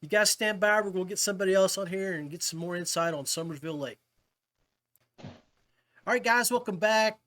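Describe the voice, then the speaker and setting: A man's voice: high voice, fairly bright tone. A middle-aged man hosting a podcast interview in a chummy, folksy, appreciative way, chatting casually with his guests. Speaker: podcast host.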